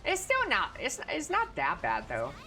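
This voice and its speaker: A woman's voice: on the high side, huge pitch swings, unhurried, sharp tone, soft background music. A woman with a Texan Southern accent chatting casually and crudely with her audience while streaming a game. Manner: crude, profane, laid-back. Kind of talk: casual gaming stream chat.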